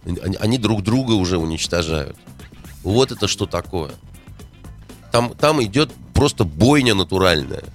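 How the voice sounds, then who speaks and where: A man's voice: deep voice, lively intonation, moderate pace, soft background music. A man in a heated political discussion, indignant, firing rhetorical questions and hesitating often.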